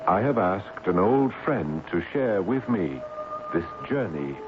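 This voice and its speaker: An earnest young man speaks with devout ceremony in an old radio mystery. His pitch moves expressively, and the sound is thin and heavily hissy. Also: big pitch swings, slow pace, exaggerated voice. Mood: reverent, hushed. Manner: reverent, polite, ceremonious. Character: earnest, devout young male believer in spiritualism. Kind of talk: old-time radio drama acting. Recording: tinny, very noisy old radio recording.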